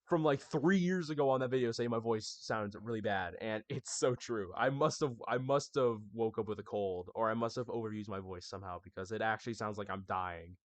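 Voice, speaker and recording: A man's voice: mid-range voice, a bit bright, no room sound. A young man hosting his gaming channel, recapping it in a casual, chatty way and talking straight to his viewers. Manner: chatty, addresses viewers as y'all. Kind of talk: casual scripted anniversary recap.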